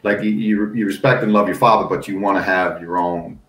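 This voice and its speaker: A middle-aged American man, a football coach and analyst, telling stories in an animated, folksy way and laughing along. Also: deep voice, brisk, echoey room, slight background noise. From United States. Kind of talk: casual storytelling on a podcast.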